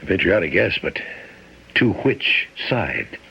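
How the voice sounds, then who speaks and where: A stern military captain in an old radio mystery, with a low voice that rises and falls widely. He questions formally and deliberately, coolly suspicious. The recording is muffled and noisy. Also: sing-song, creaky, breathy edge, heavily acted. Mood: coolly probing. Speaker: radio drama actor.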